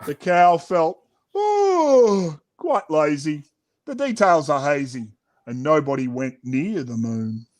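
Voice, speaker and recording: Aussie guy, middle aged, highish voice, doing a playful hammy picture book read. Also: very animated, takes it slowly, darkish tone. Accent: Australian. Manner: theatrical, playful delivery. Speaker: children's author reading his own book. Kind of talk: animated picture-book read-aloud and chat.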